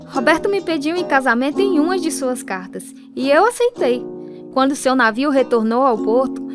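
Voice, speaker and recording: Earnest young daughter, highish voice. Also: female voice, expressive, moderate pace. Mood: earnest, sorrowful. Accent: Brazilian. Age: young adult. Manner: earnest, heartfelt. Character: devout, hurt young daughter recounting her life, earnest. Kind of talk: radio drama narration and dialogue. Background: music.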